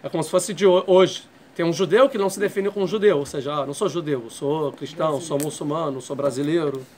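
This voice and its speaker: A man's voice: on the high side, quick pace, a little mellow. A man teaching a Torah class. He explains step by step and keeps checking in with his students. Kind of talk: classroom lecture.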